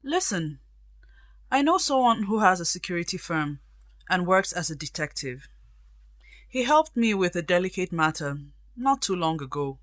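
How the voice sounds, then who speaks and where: A woman's voice: on the low side, sing-song, normal speed, no room sound. Woman acting a composed, helpful friend, dry sound. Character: single woman friend Gabrielle, helpful and self-assured. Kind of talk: radio drama acting.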